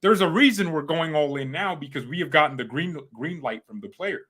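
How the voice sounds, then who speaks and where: A man hosting a fan channel, chatting casually with his live chat, rambling and joking with sudden loud outbursts. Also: on the high side, lively intonation, fairly quick, slightly rough. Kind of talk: casual livestream chat with viewers.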